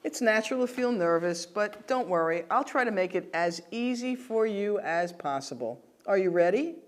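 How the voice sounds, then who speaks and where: A woman playing an official interviewer, reading scripted questions in a formal, measured way. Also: medium pitch, lively intonation. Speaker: role-played citizenship interviewer.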